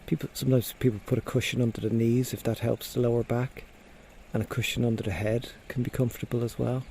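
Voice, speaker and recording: Male meditation guide, soft mellow tone, medium pitch, gentle and unhurried, pausing often, faint birdsong and nature sounds behind. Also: little pitch movement, vocal fry, slight background noise. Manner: gentle, unhurried instruction with frequent pauses. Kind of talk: guided body scan meditation.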